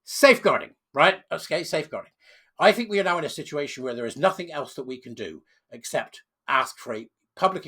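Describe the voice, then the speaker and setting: A man with a cold, sounding croaky, hosting an emphatic opinion commentary with sudden loud stresses. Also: fairly high pitch. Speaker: channel host.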